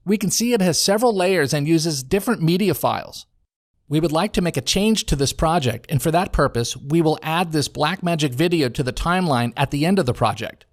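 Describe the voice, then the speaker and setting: An American man reading a scripted software tutorial as a voice-over narrator, in a measured, instructional way. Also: on the high side, no echo, studio quality. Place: home studio.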